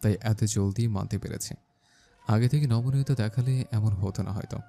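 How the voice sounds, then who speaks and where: A man's voice: fairly low pitch, moderate pace, gravelly, mellow. A man playing a bossy but caring young husband in an audio story, with a firm manner. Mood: frank, firm. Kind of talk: Bengali audio story acting.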